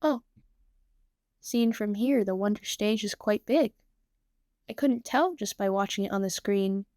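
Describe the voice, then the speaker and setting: A woman's voice: medium pitch, measured pace, no echo, studio quality, lightly acted. A woman voicing a shy, self-blaming teenage girl in a story reading, hesitant and prone to stammering. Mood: ashamed. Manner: hesitant, stammering, soft-hearted.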